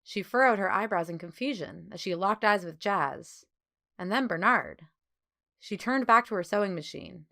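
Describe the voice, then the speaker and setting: A woman reading a children's story as an audiobook narrator, with clear phrasing and expressive delivery. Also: mid-range voice, normal speed.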